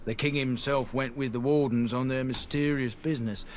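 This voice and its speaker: An actor playing an earnest, proud merchant with a colloquial, plain-spoken way of talking in a fantasy game. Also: male voice, medium pitch, steady tone, normal speed, a little hiss or hum, lightly acted. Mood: admiring, storytelling. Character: earnest, proud merchant man defending his disgraced family's honor. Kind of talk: scripted fantasy game dialogue.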